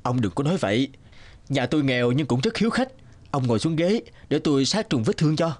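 A man playing a kind, hospitable poor villager in a cartoon, speaking gently and reassuringly. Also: medium pitch, expressive, fast talker, natural voice. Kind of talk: cartoon dubbing.

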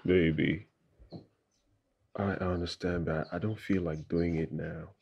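An actor playing an evasive deep-voiced boyfriend. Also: male voice, steady tone, slow, creaky, slightly rough, dull audio, exaggerated voice. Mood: reluctant. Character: evasive young man, defensive and jumpy. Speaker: boyfriend under pressure.

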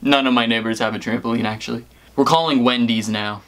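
A man's voice: mid-range voice, fairly even intonation, brisk, fairly bright tone, slight background noise. A young American man chatting casually and hesitantly to camera and on the phone, peppering his talk with "like". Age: young adult. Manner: hesitant, rambling, heavy use of "like". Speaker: prank-call vlogger.

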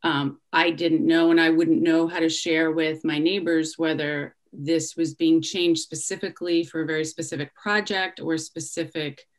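A middle-aged woman with a low voice speaks up at a council hearing through her laptop webcam at home. She talks hesitantly and stops often to rephrase her questions. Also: flat delivery. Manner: hesitant, halting, many fillers and restarts. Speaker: resident giving public comment. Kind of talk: public comment on a video call. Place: home room indoors. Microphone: laptop webcam on a video call.

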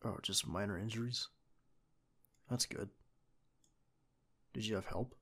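A young man playing a devoted secret agent boyfriend in an audio roleplay, with a husky, gravelly voice and an earnest manner. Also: on the low side, unhurried, fairly bright tone, exaggerated voice. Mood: gentle, comforting. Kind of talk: ASMR boyfriend roleplay acting.

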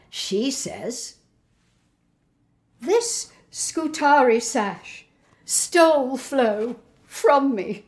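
Woman playing a resentful old Victorian mother, swooping pitch. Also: heavily acted. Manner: aggrieved, haughty, theatrical pauses. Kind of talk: dramatic poetic monologue.

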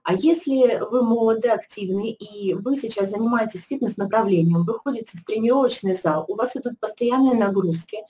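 A woman's voice: on the high side, a little fast, hardly any pauses, dark tone, a little hiss or hum, rough recording. A middle-aged woman giving a persuasive webinar presentation from an office over a webcam, with many fillers and restarts. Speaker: product presenter. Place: indoor office, video call.

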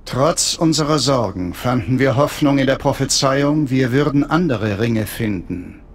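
A man voicing an imperious, pompous alien high priest in a video game, solemn and authoritative. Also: lively intonation, a little slow. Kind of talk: cinematic video game acting.

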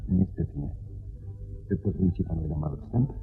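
An actor playing a well-bred gentleman client in a radio play, recounting things soberly in a formal, polite and deliberate manner. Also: low voice. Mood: grave. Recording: muffled radio recording with faint noise.

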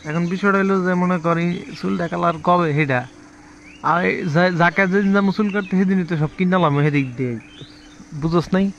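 Casting: Bangladeshi man, voice a little high, rough informal talk, insistent, light noise behind. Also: steady tone, brisk, fairly bright tone, muffled recording. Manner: coarse, colloquial, insistent. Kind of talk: casual conversation.

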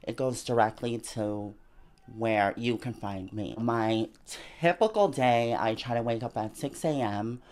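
A woman's voice: extremely low pitch, unhurried, darkish tone. A woman in her twenties, from Argentina, talking candidly in an interview in a small bedroom, full of "you know" and "like" fillers, into a handheld mic. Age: 20s. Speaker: interview guest. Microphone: handheld mic held by interviewer.

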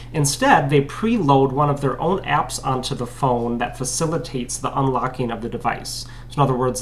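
A young man hosting a tech video, calmly walking through a phone unlock in a small room, recorded on the camera's mic. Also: mid-range voice, a little hiss or hum. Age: young adult. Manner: matter-of-fact, explanatory. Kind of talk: tech demonstration walkthrough.

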